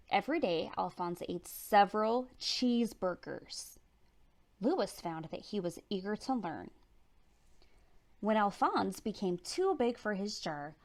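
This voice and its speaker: A woman reading a children's picture book aloud as storytime narrator, with animated, dramatic emphasis. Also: mid-range voice. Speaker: storytime reader.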